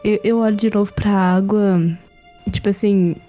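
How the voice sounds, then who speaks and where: Hesitant Brazilian woman gamer, warm mellow tone, light music underneath. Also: medium pitch, flat delivery, normal speed. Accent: Brazilian Portuguese. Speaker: player. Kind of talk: casual chat, playing a tabletop game.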